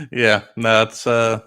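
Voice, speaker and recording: A man's voice: fairly low pitch, a bit bright, smooth. A man chatting casually on a livestream about a launch, rambling with lots of fillers and correcting himself. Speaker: launch commentary streamer.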